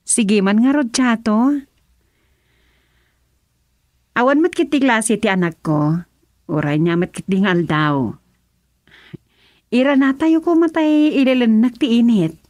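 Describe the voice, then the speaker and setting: An actress playing a composed, well-off madam of a political household in an Ilocano radio drama, measured and authoritative. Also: female voice, fairly high pitch, a little mellow, dry recording, lightly acted.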